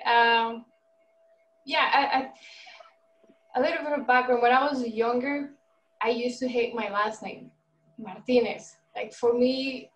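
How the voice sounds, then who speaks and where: A woman's voice: on the high side, recorded from a distance, highs cut off. A young woman from Mexico on a panel, telling a reflective personal story. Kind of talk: panel discussion.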